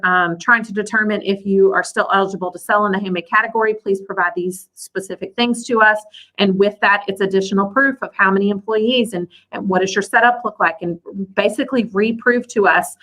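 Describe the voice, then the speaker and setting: A woman's voice: medium pitch, bright, forceful tone, rough recording. A woman guest explaining her field in a conversational interview, carefully qualifying her points as she goes. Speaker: guest, seller and coach.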